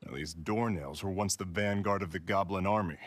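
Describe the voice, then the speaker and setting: An actor playing a knowing, middle-aged adventurer uncle in a video game, wry and explanatory. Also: male voice, deep voice, brisk, dry recording. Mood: grave.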